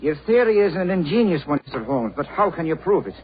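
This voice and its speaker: Defiant, high-pitched male murder suspect. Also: big pitch swings, quick pace. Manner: formal, defiant. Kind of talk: 1940s radio drama acting. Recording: muffled, noisy 1940s radio recording.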